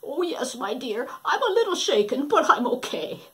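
A soft, frail old granny from a fairy tale who quavers a little as she speaks, as a woman puts her on. Her voice is high and kindly, with a lively lilt. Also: normal speed, exaggerated voice. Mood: gentle. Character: gentle frail old grandmother.

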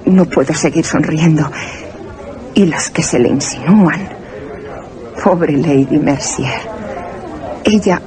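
A woman playing a flirtatious London society lady in a radio drama, breathy and theatrical. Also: sits low, darkish tone. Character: flirtatious high-society London woman, fascinated and fearful of a vampire. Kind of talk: Spanish radio theatre acting.

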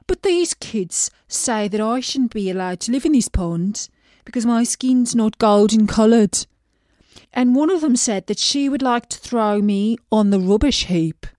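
A woman's voice: fairly high pitch, expressive, a little slow, a little mellow, no room sound. A woman doing a gloomy, dragging male fish. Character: glum plain male pond fish, gloomy and wry. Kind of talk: storybook reading.

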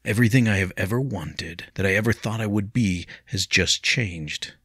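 A man's voice: fairly low pitch, moderate pace, fairly bright tone, slightly rough, no room sound, clean studio sound. A man playing a brooding, remorseful young nobleman. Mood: rueful. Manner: heated, bitter, mirthless.

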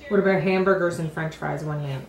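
A woman's voice: deep voice, fairly even intonation, brisk, creaky. A woman in her thirties planning family meals and shopping in a matter-of-fact, casual way. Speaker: mother planning the family menu. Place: home kitchen, car and grocery store. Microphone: handheld camera held by another person. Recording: echoey, noisy camera audio.

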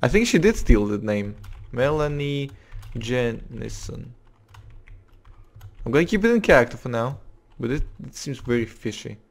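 A man's voice: medium pitch, big pitch swings, moderate pace, vocal fry. A young man streaming a roleplay game, muttering to himself while typing and restarting his sentences often. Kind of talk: live-streamed roleplay commentary.

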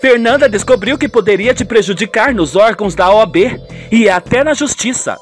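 A man's voice: sits high, animated, fairly quick, soft background music, voice pushed up. A man voicing a scheming, exasperated housekeeper and mistress in a dramatic radio soap. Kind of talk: radio soap opera acting.

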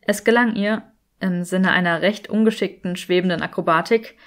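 A woman reading a story as an audiobook narrator, in an expressive scripted style. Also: lowish voice, moderate pace.

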